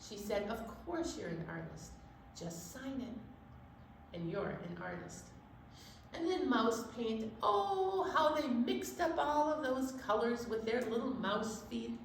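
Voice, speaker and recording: A woman with a fairly high voice leads a children's story time at a slow pace. She is animated and encouraging with young listeners. The recording is echoey, with the microphone some way off. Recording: roomy echo, distant mic, faint noise.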